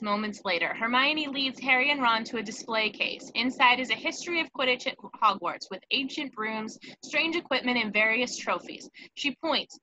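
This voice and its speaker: Bright-voiced woman reading stage directions. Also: fairly high pitch, muffled recording. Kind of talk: scripted narration in a table read. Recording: faint background noise.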